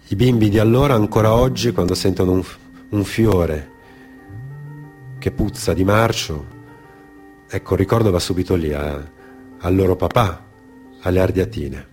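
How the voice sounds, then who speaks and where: A man performing a theatrical narrative monologue for radio, in a dramatic, deliberate manner with repetitions and weighted pauses. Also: lowish voice, a little mellow. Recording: music behind the voice. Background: music.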